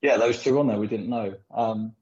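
A man with a low, mellow voice tells long stories, full of "you know" and "um". His voice carries a roomy echo and comes through a dull, lo-fi recording. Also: normal speed. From Philippines. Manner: rambling, animated storytelling with frequent fillers. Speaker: pool player guest. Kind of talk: casual podcast interview.

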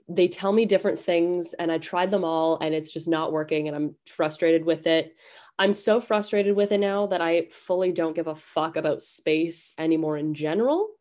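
A woman's voice: lowish voice, darkish tone. A young woman hosting a casual podcast intro, a little nasal from a cold, chatty and self-deprecating. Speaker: podcast host.

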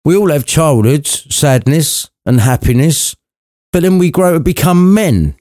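A man's voice: fairly high pitch, lively intonation, a little slow, mellow, dry recording, clean studio sound, voice pushed up. A man playing Scrooge, a grumpy, defensive and shaken old miser, in an irreverent storybook retelling. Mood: defiant.

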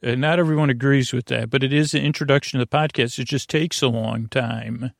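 A man with a creaky voice hosting a sleep podcast, meandering and halting through silly, goofy tangents in a lulling way. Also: medium pitch, steady tone, dry recording, clean studio sound. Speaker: podcast host. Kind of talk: rambling bedtime sleep-podcast monologue.

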